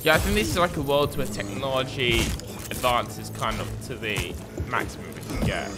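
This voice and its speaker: A man's voice: mid-range voice, lively intonation, moderate pace, loud music behind the voice. A young man giving casual reaction commentary in a hesitant, rambling, repetitive way. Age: young adult. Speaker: video host reacting to a game trailer. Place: small panelled room at home. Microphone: desk microphone on a boom arm.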